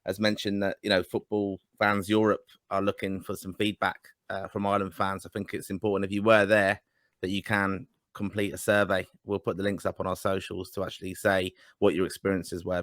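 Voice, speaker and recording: A man co-hosting a casual football podcast over a video call, measured and earnest. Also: sits low, fairly bright tone, no echo, clean studio sound. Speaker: podcast co-host. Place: England.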